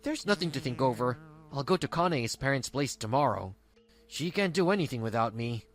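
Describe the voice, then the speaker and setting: Man acting a cocky, animated young husband. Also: medium pitch, brisk, faint music behind, natural voice. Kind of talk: scripted manga dub dialogue.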